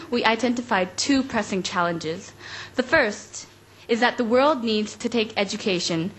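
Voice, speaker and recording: A young woman from South Korea, a youth delegate, giving a prepared, measured speech at a forum. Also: fairly high pitch, fairly bright tone, rough recording. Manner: formal, measured reading.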